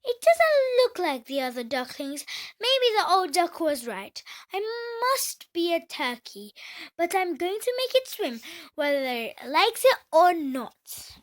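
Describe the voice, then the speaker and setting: A woman's voice: very high-pitched, big pitch swings, slow, heavily acted. A woman voicing a protective, patient but firm mother duck in a children's storybook, coaxing and instructing her little ones. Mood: resolute. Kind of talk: children's storybook read-aloud.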